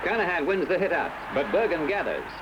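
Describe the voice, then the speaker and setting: A man with an Australian accent narrating a scripted 1970s sports newsreel in formal, measured phrasing. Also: fairly high pitch, sing-song. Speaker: newsreel commentator. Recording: dull, noisy old newsreel soundtrack.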